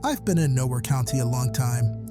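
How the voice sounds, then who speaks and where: A man's voice: medium pitch, expressive, normal speed, loud music behind the voice, lightly acted. An actor playing a folksy, wry hospital janitor who calls in and tells his story matter-of-factly in a horror audio drama. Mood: brooding, storytelling. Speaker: caller.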